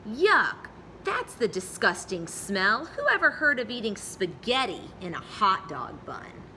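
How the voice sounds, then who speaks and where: Woman doing a sneering schoolboy bully, bright and sharp. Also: medium pitch, big pitch swings, unhurried, a little hiss or hum, pitched above the performer's own voice, full character voice, low-quality recording. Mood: disgusted. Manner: sneering, taunting. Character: mean, mocking schoolboy bully.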